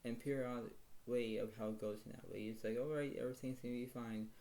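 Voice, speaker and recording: A man giving a casual tarot reading to his viewers. He speaks quietly and rambles, circling back to the same stock phrases. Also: steady tone, quick pace, creaky. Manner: talks quietly, apologizes for his low voice. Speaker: tarot card reader.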